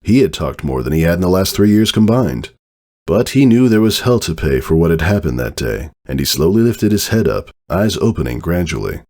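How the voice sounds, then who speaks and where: A man's voice: sits low, a little mellow. A man narrating a story as a dramatic, scripted reading. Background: background music.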